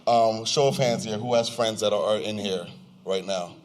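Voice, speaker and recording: A man's voice: lowish voice, normal speed, slight background noise. A young American man co-hosting a podcast, chatting informally and with slang in a slightly distorted live event recording. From United States, of Nigerian descent. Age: young adult. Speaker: podcast co-host. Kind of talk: casual chat to a live audience. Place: live event venue with audience.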